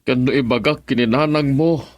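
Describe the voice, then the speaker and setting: Man acting a gentle, coaxing father, radio drama. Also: mid-range voice, moderate pace, no echo, close to an everyday voice. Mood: tender, pleading. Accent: Filipino. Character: gentle, longing father coaxing his daughter to marry.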